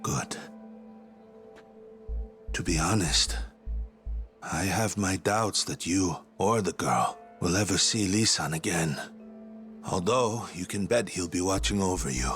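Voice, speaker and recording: A man's voice: low-pitched, lively intonation, takes it slowly, long pauses, fairly bright tone, soft background music, full character voice. An actor playing a polite, earnest male doctor delivering a grave message in a game dub. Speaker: doctor messenger.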